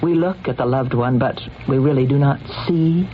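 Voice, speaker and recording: A woman's voice: rumbling low, normal speed. An actress playing a possessive, wealthy woman with a theatrical, coaxing and cutting manner in an old-time radio drama. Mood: coaxing, teasing. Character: imperious, possessive wealthy woman, affectionate then scolding. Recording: muffled, noisy old radio recording.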